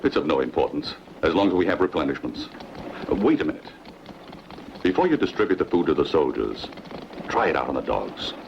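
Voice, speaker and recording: A man's voice: extremely low pitch, very animated, a little fast, gravelly, pauses often, exaggerated voice. A man playing a commanding, suspicious Roman general with a formal, authoritative manner in a dubbed epic film. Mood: wary, commanding. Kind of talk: dubbed sword-and-sandal film dialogue. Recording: muffled lo-fi film audio, faint noise.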